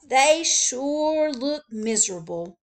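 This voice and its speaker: A woman reading a storybook aloud, voicing an excited male zoo worker in a raised, eager voice. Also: slow, exaggerated voice. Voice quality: high, loud, eager.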